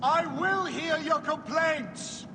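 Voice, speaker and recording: Imperious, high-voiced Roman dictator. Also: male voice, takes it slowly. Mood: grandly persuasive. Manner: declamatory, oratorical. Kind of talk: dramatic video game cutscene acting. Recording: noisy, long reverberant hall.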